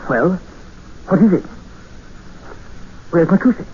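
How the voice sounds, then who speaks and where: A man's voice: high-pitched, expressive, takes it slowly, slight background noise. An actor playing a young English explorer who narrates his own story in a 1950s radio drama, wry in tone. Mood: alarmed. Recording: dull old radio broadcast with music.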